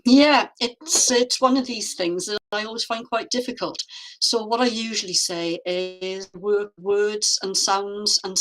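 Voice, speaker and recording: A woman from Wales, a guest artist, answering interview questions in a reflective, measured way with frequent fillers, over a video call. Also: medium pitch, rough recording.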